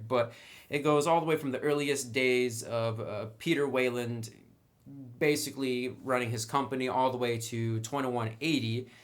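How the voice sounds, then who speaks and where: Casual, chatty man reviewing a game book. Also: medium pitch. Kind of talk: casual scripted-light review commentary.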